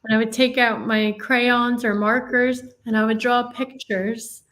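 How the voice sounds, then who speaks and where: Earnest woman, higher voice. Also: little pitch movement, a little slow, fairly bright tone. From Northern Ireland. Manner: earnest, reflective storytelling with many restarts. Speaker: interview guest. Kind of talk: personal life story in a podcast interview.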